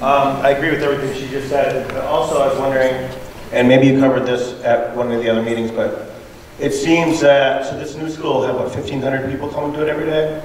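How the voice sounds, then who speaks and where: A man resident asking a question loudly without a microphone at a public meeting. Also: mid-range voice, fairly even intonation, fast, slight background noise. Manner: loud, speaks without a microphone. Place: large meeting hall. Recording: echoey hall, distant voice.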